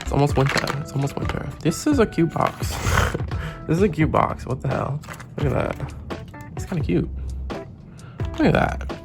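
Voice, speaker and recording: A man who is sick with a sore throat, his voice gravelly and rough. He talks in a casual, chatty way and gushes over the packaging as he walks through the cards. Music plays clearly underneath him. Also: a little high, expressive, normal speed, long pauses. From Canada. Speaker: trading card game YouTuber. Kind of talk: casual unboxing and deck review.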